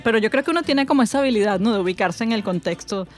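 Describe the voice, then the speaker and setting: Musing woman, relaxed chat. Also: a little high, rapid-fire. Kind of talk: casual conversation.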